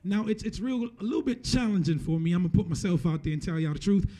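A man's voice: high voice, expressive, quick pace, warm tone. A man in his thirties from Jamaica preaching a conversational sermon into a handheld mic, repeating phrases for emphasis. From Jamaica, moved to the US at eleven. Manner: repeats and restarts phrases for emphasis, often tags points with "right?". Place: church stage, indoors. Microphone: handheld microphone held close.

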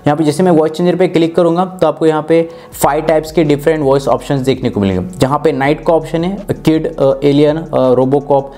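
A man in his twenties with an Indian accent speaks in a fairly high but soft, mellow tone. He explains phone features in an instructional, step-by-step way, as the host of a tech review. He is close on a clip-on mic. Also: fairly even intonation, brisk. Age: 20s. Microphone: clip-on lapel mic.

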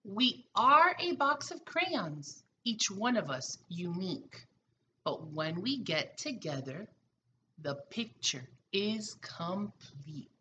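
Female pre-K teacher, middle-aged, storybook read-aloud; bright, animated, slow-paced delivery. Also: medium pitch, highs cut off, slight background noise. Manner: expressive, deliberate storytelling for young children. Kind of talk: children's picture book read-aloud. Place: small room at home, indoors.

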